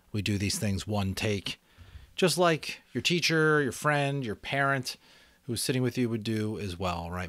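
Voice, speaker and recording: A man hosting and narrating a book aloud, theatrical and expressive, with casual asides. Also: fairly low pitch, no echo. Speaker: audiobook reader and host.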